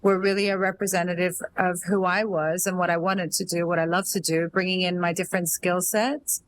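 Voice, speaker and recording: A woman's voice: on the low side, flat delivery, a little fast. A woman from Australia, a singer-songwriter and entrepreneur, talks as an interview guest in a thoughtful, rambling way full of fillers. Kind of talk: casual interview chat.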